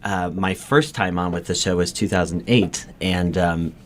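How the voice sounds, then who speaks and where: A man with a low voice, speaking as a guest in a casual interview. He talks haltingly, rewording as he goes, with clear noise behind him. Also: fairly even intonation. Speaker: co-director and actor, interview guest.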